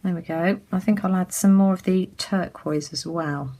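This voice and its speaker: A woman from the UK giving a casual craft tutorial as she works, chatty and full of ums, her voice a little croaky. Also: fairly low pitch, moderate pace, warm tone. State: slightly croaky. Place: indoors at a craft table.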